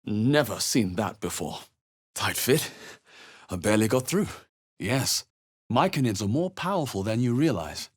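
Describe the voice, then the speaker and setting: A man playing a wary, wry young adventurer in a video game, husky and musing to himself as he observes. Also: lowish voice, sing-song, unhurried, pauses often. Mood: wry, cautious. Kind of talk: video game character voice lines, muttered observations.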